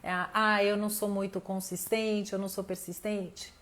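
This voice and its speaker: A woman's voice: mid-range voice, level tone, moderate pace, fairly bright tone. Brazilian woman teaching a motivational live class. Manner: conversational, addresses the audience directly, thinks aloud. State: voice tiring, losing it slightly. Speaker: mentor hosting an online live class. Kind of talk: informal motivational teaching to camera.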